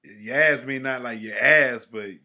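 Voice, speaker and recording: A man in his forties co-hosting a podcast in casual chat, slangy and animated, trailing off quietly at the ends of phrases. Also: medium pitch, normal speed, crowd noise. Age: 40s. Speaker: podcast co-host.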